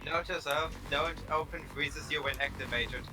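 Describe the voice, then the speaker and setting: A man's voice: fairly high pitch, steady tone, moderate pace, over music. A man from Germany talking casually in a gaming voice chat, dry and matter-of-fact about the game. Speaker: teammate in voice chat.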